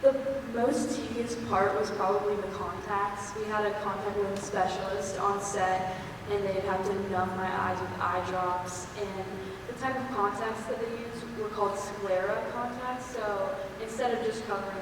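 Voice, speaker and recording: A woman's voice: medium pitch, flat delivery, normal speed. A young woman, an actress on a convention panel, describing her experiences in an easygoing way full of "like" and "um". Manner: easygoing, lots of "like" and "um". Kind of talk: casual panel Q&A answers. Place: large convention hall. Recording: echoey hall, heard from far off, background noise.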